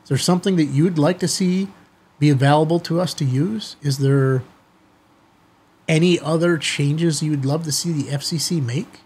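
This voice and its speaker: Husky middle-aged American man, chatty. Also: a little high, normal speed, a little mellow. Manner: informal, folksy, addresses viewers directly. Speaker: amateur radio video host. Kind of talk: casual chatty commentary to viewers. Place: small indoor studio office, seated at desk.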